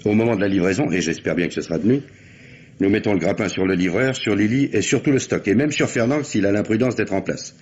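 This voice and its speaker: A deep, slightly husky man's voice as a shrewd police chief in a radio mystery. He is measured, commanding and dryly ironic. The old recording sounds dull and muffled. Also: a little mellow. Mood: calm, commanding. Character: shrewd, commanding police boss plotting a nighttime sting. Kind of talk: French radio crime drama acting.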